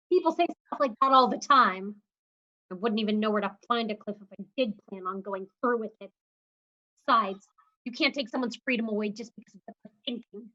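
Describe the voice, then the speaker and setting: A woman's voice: on the high side, sharp tone. An actress playing a defiant, sarcastic young woman held in a mental hospital. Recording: slightly dull lo-fi livestream audio.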